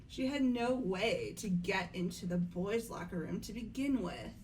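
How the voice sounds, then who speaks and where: A woman playing a composed, doubting young aristocratic girl with measured delivery in an amateur game dub. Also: mid-range voice, darkish tone, a little hiss or hum, natural voice, poor audio.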